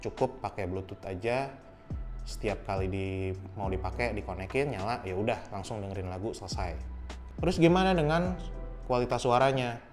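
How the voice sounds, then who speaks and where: A young man casually reviewing a helmet intercom, explaining each step in a relaxed, friendly way. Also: fairly low pitch, normal speed, faint music behind. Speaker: product reviewer.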